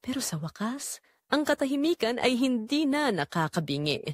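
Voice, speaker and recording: Woman acting as a hurt but quietly strong filipino mom, solemn audio drama narration, really expressive pitch, dry close sound. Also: normal speed, dry recording. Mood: reflective, resolute. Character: betrayed middle-aged mother, wounded but quietly resolute. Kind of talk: dramatic first-person audio story narration.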